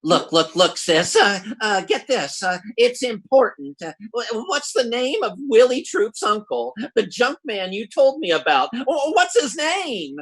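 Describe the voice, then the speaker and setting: An actor playing a folksy, blustering, scheming husband in old-time radio comedy, using slang and stammering when flustered. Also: male voice, extremely high pitch, very animated, a little slow, few pauses, a bit bright, full character voice. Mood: flustered, panicky. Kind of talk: vintage radio comedy acting.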